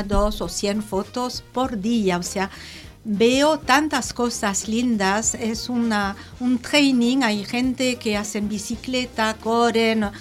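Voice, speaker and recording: Older French-born woman, interview guest; warm hesitant delivery, lots of fillers, slightly raised pitch, soft music bed. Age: 60s. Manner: hesitant, many fillers and restarts. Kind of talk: casual conversational interview.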